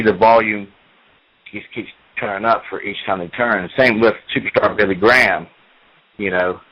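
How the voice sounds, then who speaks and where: A man's voice: fairly low pitch, highs cut off. A man in his forties, a professional wrestler, talking at length in an interview, repeating himself as he tells his story. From United States. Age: 40s.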